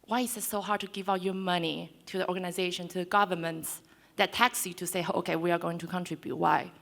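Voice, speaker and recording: A woman's voice: fairly low pitch, steady tone, quick pace, creaky, fairly bright tone. A woman in her twenties from Taiwan, speaking on a conference panel and persuading the audience with direct questions. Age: 20s. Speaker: panelist, co-founder. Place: conference hall.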